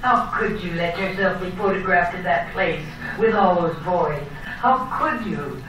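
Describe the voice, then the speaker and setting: An actress playing a responsible, worried older sister of twenty-five in an old-time soap opera, scolding in an earnest way. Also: female voice, on the low side, unhurried, fairly bright tone. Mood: reproachful. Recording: very echoey, distant old recording, faint noise.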